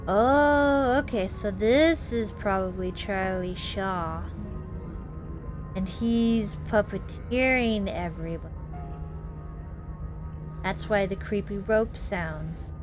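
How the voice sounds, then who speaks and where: A woman's voice: mid-range voice, takes it slowly, long pauses. Chatty woman gamer over music. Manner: chatty, thinks aloud. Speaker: gameplay streamer reading a visual novel aloud. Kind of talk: casual playthrough commentary and read-aloud. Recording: music behind the voice. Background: game music.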